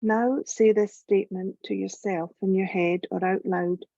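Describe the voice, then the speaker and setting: A woman leading a guided yoga nidra meditation in a gentle, calm, measured voice with long pauses. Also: medium pitch, creaky, no echo, dull audio. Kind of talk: slow guided relaxation meditation narration.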